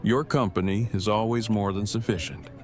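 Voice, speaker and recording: An actor playing an earnest, verbose, nerdy husband in an audio drama, speaking in formal technical phrases. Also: male voice, lowish voice, steady tone, normal speed, close to an everyday voice. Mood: sincere. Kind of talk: fan audio drama acting.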